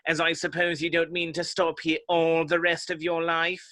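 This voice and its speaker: A man's voice: a little high, completely flat delivery, normal speed, sharp tone. A man playing a haughty, touchy, pedantic egg character in a dramatic reading of a children's classic. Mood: pompous, contradicting.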